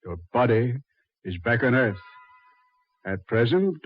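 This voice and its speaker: A man playing a suave, mocking Satan who ferries dead souls, unhurried and sardonically polite, in 1940s radio horror style. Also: medium pitch, very animated, slightly rough, no echo. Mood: cool, commanding. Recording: dull 1940s radio recording.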